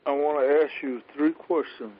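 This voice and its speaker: A man's voice: fairly high pitch, steady tone, moderate pace. A man taking part in a conference call, answering questions in a casual, conversational way. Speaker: call participant being quizzed. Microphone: conference phone call. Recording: muffled, faintly noisy phone conference line.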